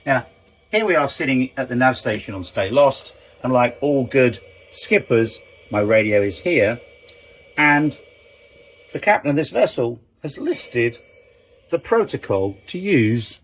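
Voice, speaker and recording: A man's voice: medium pitch, animated, a little slow, poor audio. A middle-aged man giving an informal, explanatory lesson as a sailing instructor, conversational and stressing key terms.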